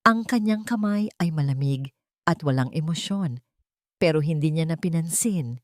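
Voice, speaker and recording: Casting: female storyteller for romance drama, lower voice, deliberate phrasing, very dry close sound. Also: lively intonation, no room sound. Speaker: story narrator. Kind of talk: scripted dramatic romance narration.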